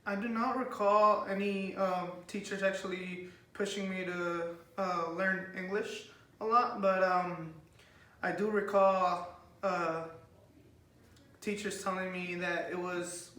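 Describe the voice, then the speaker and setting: A young man whose first language is Spanish answers interview questions, speaking with hesitant pauses and many fillers. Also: high voice, level tone, bright, forceful tone. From United States. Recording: echoey room, far from the mic, faint noise.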